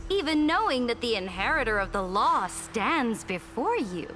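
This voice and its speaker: Game role: arrogant female Imperator, high, sweeping delivery, formal and commanding, music underneath. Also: big pitch swings, normal speed. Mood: haughty, grand. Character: imperious, haughty female Imperator who calls allies her subjects. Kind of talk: dramatic video game voice acting. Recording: music behind the voice.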